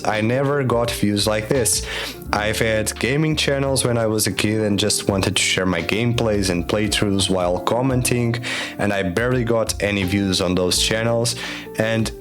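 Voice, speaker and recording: A young man with Portuguese as his first language speaks in a lowish voice, earnest and careful, often restarting his words. Soft music plays faintly underneath. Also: steady tone, normal speed. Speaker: YouTube creator. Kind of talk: casual explanatory talk to camera.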